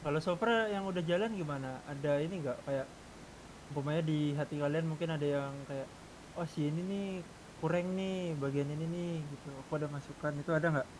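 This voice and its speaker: A man's voice: fairly high pitch, fairly quick. A man leading a casual group discussion in an online game, talking informally. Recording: hissy gamer voice chat.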